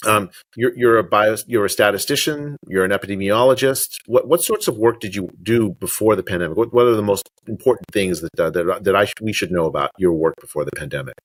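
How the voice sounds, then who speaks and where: A man in his fifties hosts a podcast over a webcam from his study, speaking with many false starts and "uh"s. His voice sits low, and the sound is dry and close with little room echo. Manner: halting, many restarts and fillers. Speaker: podcast host. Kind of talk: video call interview. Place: home study with bookshelves. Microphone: webcam on video call.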